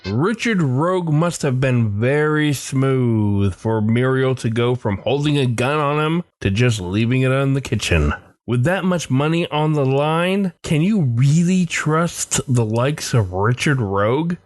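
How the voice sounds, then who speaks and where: A man's voice: medium pitch, lively intonation, a little slow, dry recording, clean studio sound. A man hosting a podcast and reading scripted narration. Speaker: podcast host. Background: faint music.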